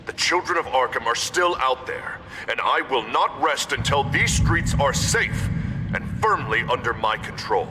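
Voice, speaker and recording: An actor playing a stern, power-hungry mayor giving a self-righteous televised speech in a video game. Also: male voice, mid-range voice, expressive, normal speed, noisy background, natural voice. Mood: righteous, commanding.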